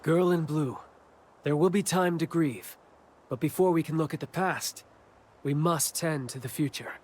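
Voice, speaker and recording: A man's voice: fairly high pitch, moderate pace, a little mellow, lightly acted. An actor playing a theatrical young male god of war and wind in a video game dub, with grandiose, poetic speech. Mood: solemn. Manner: grandiose, poetic, formal proclamations. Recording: voice over background music.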